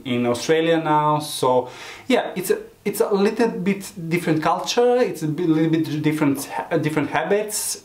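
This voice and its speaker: A man of about forty with a Serbian accent, chatting casually to camera in halting non-native English. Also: a little high. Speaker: beauty vlogger, hairdresser and drag queen. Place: small room indoors. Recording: roomy, echoing home recording.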